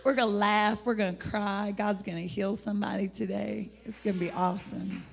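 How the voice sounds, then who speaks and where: A middle-aged woman with an American accent and a husky, gravelly voice, preaching an informal, rambling sermon in an animated, folksy way. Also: mid-range voice, normal speed, soft background music. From United States (Indianapolis, Indiana). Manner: animated, rambling, folksy, breaking into laughter and exclamations. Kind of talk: informal sermon with personal testimony.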